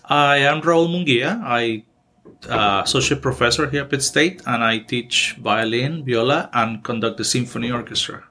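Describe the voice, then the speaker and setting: A man's voice: medium pitch, moderate pace, bright, forceful tone. A man in his forties from Honduras, speaking English with a Honduran Spanish accent, talks as a music professor in a casual interview, hesitant with restarts but upbeat. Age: 40s.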